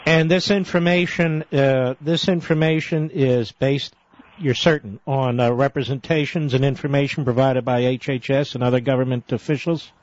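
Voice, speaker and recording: A male radio talk show host questioning a caller, probing with quick follow-ups and cutting in. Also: mid-range voice, steady tone, highs cut off, a little hiss or hum.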